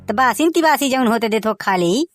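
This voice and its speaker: A man's voice: very high-pitched, expressive, normal speed, music in the background. A man with a Bagheli accent voicing a joking rustic village husband who also narrates the cartoon. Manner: folksy, joking, exclaiming.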